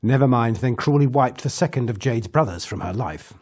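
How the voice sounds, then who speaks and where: A man's voice: mid-range voice, animated, a little fast, a little mellow, husky. A man playing an eccentric, whimsical time traveller, narrating an audio drama recap in an arch, mock-grand way with quaint turns of phrase. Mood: grave, dramatic.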